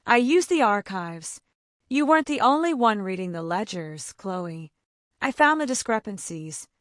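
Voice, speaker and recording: A woman reading the lines of a desperate, exhausted young man, in an urgent, low confessional tone. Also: big pitch swings, measured pace, no room sound, clean studio sound.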